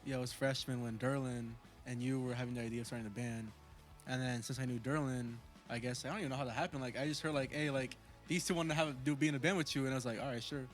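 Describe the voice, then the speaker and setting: Casual teenage drummer, bro talk. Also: male voice, mid-range voice, fairly even intonation, fast, faint music behind. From Portland, Oregon. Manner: casual, says bro, lots of fillers. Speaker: band drummer. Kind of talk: casual interview chat.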